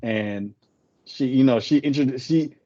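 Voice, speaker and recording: A man's voice: mid-range voice, unhurried, mellow, no echo, lo-fi sound. A man who speaks English as a later language, chatting casually as a podcast co-host and retelling a story in a rambling way, often correcting himself. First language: not English.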